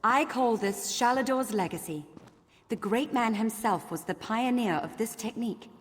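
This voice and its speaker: A woman's voice: fairly high pitch, normal speed, close to an everyday voice. Woman as stern magic teacher in echoing hall. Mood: instructive. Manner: didactic, formal. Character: stern female magic teacher lecturing a class. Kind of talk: fantasy video game lecture. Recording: long hall reverb.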